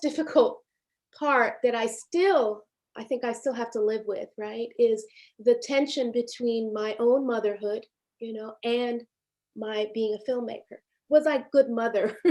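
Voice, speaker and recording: A woman from Haiti in her fifties, a documentary filmmaker speaking on a panel over a video call, thoughtful and halting, with many fillers and restarts. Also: a little high, a little mellow. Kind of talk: reflective panel discussion.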